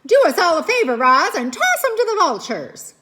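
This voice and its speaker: An indignant storybook mother beaver, acted by a woman reading aloud, high and loud. She scolds with bossy, protective emphasis, swooping on her words. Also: very animated, moderate pace, full character voice. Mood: indignant, scolding. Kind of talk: children's storybook read-aloud.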